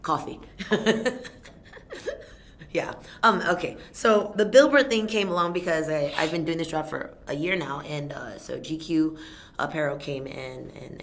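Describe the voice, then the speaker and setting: A young Thai woman, a fight event announcer, chatting casually in an interview, telling animated stories with frequent laughs. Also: low-pitched, gravelly, a little hiss or hum. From Thailand.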